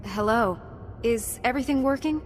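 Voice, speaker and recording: A woman's voice: on the high side, normal speed, over music, close to an everyday voice. Woman as a composed, courteous knight heroine. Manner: composed, polite. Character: female Jedi knight hero, composed, courteous, helpful. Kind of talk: video game voice acting.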